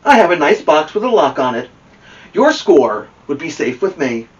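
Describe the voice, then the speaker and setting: A man's voice: a little high, very animated, moderate pace. A man voicing a cheerful, literal-minded, helpful woman from a children's storybook, in an earnest, obliging manner. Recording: muffled, distant microphone.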